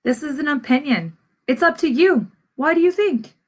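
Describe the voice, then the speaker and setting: A woman's voice: sits high, lively intonation, normal speed. A woman speaking instructively to children as a teacher, addressing them as friends while reading aloud and posing lesson questions.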